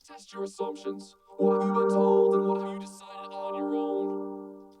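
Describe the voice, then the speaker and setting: A man's voice: normal speed, loud music behind the voice. A man delivering scripted spoken word through a robotic vocoder effect. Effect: vocoder and compression processing. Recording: heavily processed voice sample.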